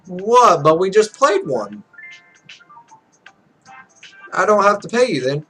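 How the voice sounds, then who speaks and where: A man's voice: high-pitched, sing-song, moderate pace, bright, forceful tone, husky, soft background music, heavily acted. A man voicing a cocky, grumpy young pro gamer from a visual novel, blunt and brash. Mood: sheepish, puzzled.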